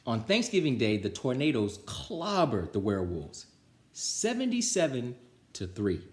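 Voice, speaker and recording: A man's voice: mid-range voice, wildly swooping pitch, unhurried. A man reading a children's storybook aloud and chatting casually with viewers. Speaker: storybook reader. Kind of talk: animated read-aloud and casual sign-off. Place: indoors at home. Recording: roomy indoor echo.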